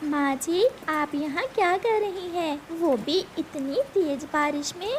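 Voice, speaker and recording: A woman's voice: high voice, slow, a little hiss or hum. A woman voicing a kind, caring female sparrow in a cartoon, concerned and respectful.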